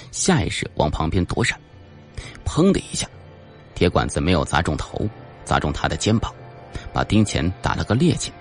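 Deep-voiced man narrating a suspense audiobook. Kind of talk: scripted dramatic narration of a suspense novel.

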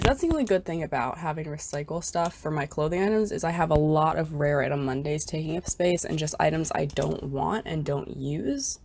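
A woman's voice: deep voice, creaky. Congested young woman gushing over game items. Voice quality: scratchy. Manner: chatty and bubbly, gushing over cute items. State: congested, scratchy voice. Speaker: gaming YouTuber. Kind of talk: casual gameplay commentary.

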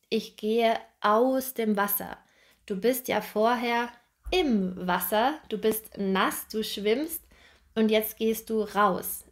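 A young woman teaching a grammar lesson straight to the camera, speaking slowly and clearly and repeating example sentences. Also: on the high side. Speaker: German teacher. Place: bright indoor room, seated at a table.

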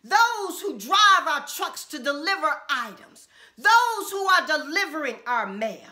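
A middle-aged woman pastor preaching a sermon from a small room at home, with impassioned repeated refrains and drawn-out emphasis. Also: high-pitched, big pitch swings, pushed and bright.

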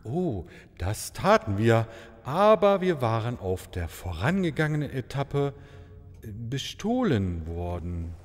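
A man's voice: mid-range voice, sing-song, slow. A man playing a courteous, dignified travelling maharaja in a fairy-tale audio comedy, speaking in formal, flowery euphemisms. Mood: regretful, dignified. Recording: long reverberant hall sound.

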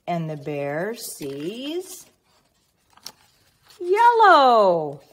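Very slow, animated woman reading to children. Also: mid-range voice. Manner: teacherly, prompting with questions and pauses. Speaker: children's read-aloud reader. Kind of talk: expressive picture-book read-aloud with questions to young children.

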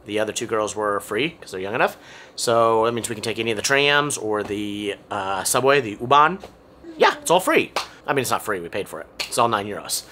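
A man's voice: lowish voice, animated, a little fast, bright, forceful tone, slight background noise. A middle-aged man, talking casually to a camera held at arm's length as a travel vlogger. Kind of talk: casual vlog narration to camera. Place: on location around a city, indoors and outdoors.

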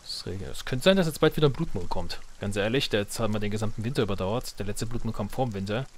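A man's voice: fairly low pitch, fast, slight background noise. A man giving casual let's play commentary, muttering and thinking aloud to himself. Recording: game music behind the voice. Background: video game music.

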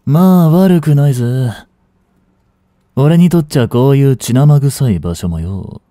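A man acting as a cynical, aloof young street delinquent in a voice drama, with rough, casual, lazily teasing speech, close to the mic. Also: medium pitch, unhurried, dark tone, no room sound, natural voice. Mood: aloof, dismissive. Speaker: voice actor. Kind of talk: Japanese voice drama aimed at women, one-sided dialogue. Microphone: close studio mic. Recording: dry close-miked studio recording.

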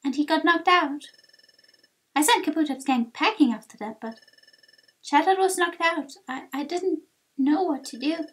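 Woman voicing a high, childlike creature guildmaster. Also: moderate pace, a bit bright. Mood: earnest, troubled.

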